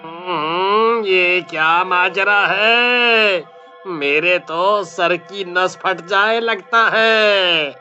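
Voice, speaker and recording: A man acts out a worried, bewildered husband in an animated horror tale. His voice is high and sharply bright, delivered slowly with anxious questions and exclamations, over light background music. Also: lo-fi sound, heavily acted. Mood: puzzled, brooding. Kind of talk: dramatized Hindi animated horror-story acting.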